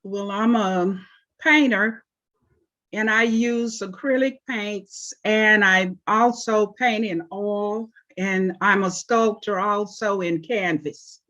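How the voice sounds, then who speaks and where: A woman in her seventies, originally from Oklahoma, answering interview questions about her life in an unhurried way with frequent pauses. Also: medium pitch, a bit bright, lo-fi sound. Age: 70s. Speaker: interview guest, artist.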